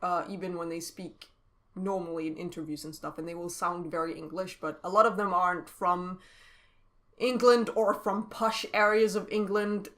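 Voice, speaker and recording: A woman's voice: on the low side, steady tone, normal speed, a bit bright. A Danish woman speaking English in a casual chat, explaining at length and laughing often. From Denmark. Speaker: podcast co-host.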